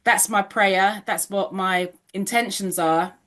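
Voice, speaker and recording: A woman's voice: medium pitch, fairly even intonation, slow pace, fairly bright tone. A woman in her fifties hosting an interview podcast, speaking in a reflective, affirming way. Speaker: podcast host. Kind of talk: casual interview chat.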